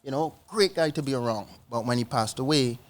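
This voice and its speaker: A man with a medium-pitched voice speaks as a guest on a podcast. He tells personal stories in short, conversational phrases, often checking that he is understood. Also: a little fast, no room sound. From Trinidad and Tobago. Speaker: podcast guest, financial educator. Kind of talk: casual personal storytelling in an interview.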